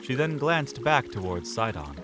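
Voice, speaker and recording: A man's voice: mid-range voice, sing-song, unhurried. A man narrating a fantasy audio drama in a scripted, dramatic storytelling style. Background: music under the voice.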